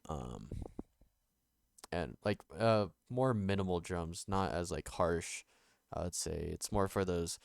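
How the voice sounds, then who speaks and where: A young man with a nasal voice, recovering from being sick, talking casually through a music production tutorial with many pauses and fillers. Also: gravelly, no echo, clean studio sound. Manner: halting, many ums and restarts, low-key. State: recovering from illness. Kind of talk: casual screen-recorded tutorial.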